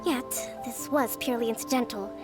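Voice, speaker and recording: Courteous, shrewd young female leader for a video game, voiced by a woman; high pitch, measured formal speech. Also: steady tone, over music, close to an everyday voice. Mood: calm, authoritative. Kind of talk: scripted video game dialogue.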